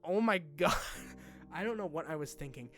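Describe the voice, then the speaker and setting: Higher-voiced young man, candid and halting. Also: lively intonation, a bit bright, faint music behind. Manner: candid, self-deprecating, halting, informal with swearing. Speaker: YouTuber addressing followers. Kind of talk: casual unscripted vlog talk.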